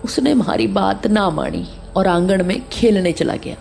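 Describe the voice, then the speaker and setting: A woman playing a remorseful older caretaker, halting and sorrowful in an audio horror drama. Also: mid-range voice, very animated, gravelly, a little mellow, faint music behind, full character voice. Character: remorseful older female caretaker confessing a terrible mistake.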